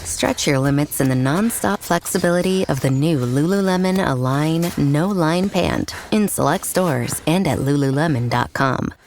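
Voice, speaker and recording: A woman reads a scripted commercial in a low voice with a smooth, measured delivery. A faint noise sits behind her. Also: expressive, darkish tone. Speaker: ad voice-over. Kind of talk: scripted advertisement read.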